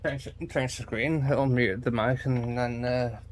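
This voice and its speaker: A man's voice: medium pitch, steady tone, a little slow, a little hiss or hum. A man casually thinking aloud while playing a racing game, hesitant and rambling. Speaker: gameplay streamer. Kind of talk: casual gaming commentary to himself.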